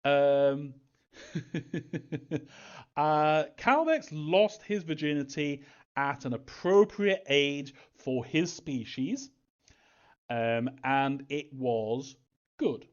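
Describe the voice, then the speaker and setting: A man's voice: on the high side, expressive, extremely slow, pauses often, clear, resonant tone. Hesitant man in dull lo-fi audio. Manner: hesitant, chuckling, evasive about story details. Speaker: game developer answering fan questions. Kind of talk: casual audience Q&A, reading questions aloud and answering.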